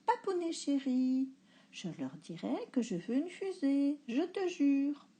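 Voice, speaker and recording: A woman's voice: high-pitched, very animated, slow, dark tone, pitched above the performer's own voice, heavily acted. A woman reading a storybook, voicing a young girl who coaxes her father affectionately. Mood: coaxing. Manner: affectionate, wheedling. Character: young girl, sweet and coaxing with her dad.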